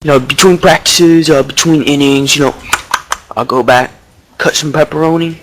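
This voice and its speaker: A man's voice: fairly high pitch, steady tone, normal speed, background noise, close to an everyday voice. A man playing a dim-witted young baseball prospect who rambles with slang and lots of fillers. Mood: cocky, rambling. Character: dim-witted young male baseball prospect, deli-obsessed, Bronx swagger. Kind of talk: improvised mock interview.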